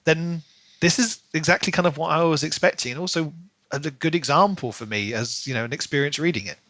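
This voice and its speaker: A man with a bright, slightly high voice chats casually as a podcast co-host about books. He is emphatic and often doubles up words while building a point. The recording is dry, with hardly any room sound. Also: brisk, dry recording. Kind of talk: casual book discussion.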